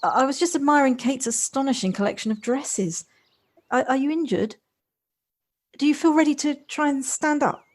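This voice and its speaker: Woman acting a high-voiced, flustered, polite city woman. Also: moderate pace, lightly acted. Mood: alarmed, apologetic. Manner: hesitant, apologetic, stammering politely. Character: polite, flustered, self-doubting city woman, kindly and apologetic. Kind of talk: audio play acting.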